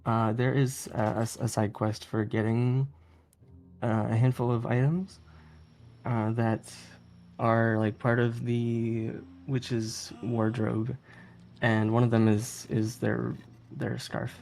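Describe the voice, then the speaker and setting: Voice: mid-range voice, level tone, takes it slowly, darkish tone. A speedrunner giving casual, rambling commentary on a live stream, often chuckling. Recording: noisy live stream audio.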